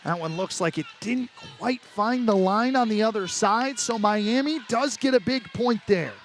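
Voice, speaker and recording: A man's voice: high voice, measured pace, faint music behind. A man doing live sports play-by-play as a commentator, over the sound of the venue.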